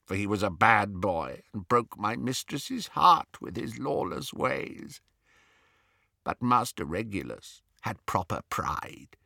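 A man voicing a wretched, groveling old male house-elf who wails shrilly or mutters in a muffled hush. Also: dry recording, studio quality, exaggerated voice. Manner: shrill and frantic when wailing, muffled and hushed when recounting. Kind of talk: audiobook character voice.

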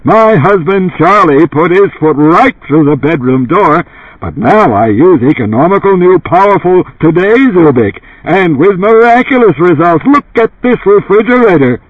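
A man puts on a brassy, bellowing housewife giving a TV testimonial in an audiobook. The voice is pushed high and swoops with gushing salesmanship, heard dry through a narrow, radio-like band. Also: lively intonation, moderate pace, no room sound. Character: hard-eyed, brassy housewife in a gushing TV commercial.

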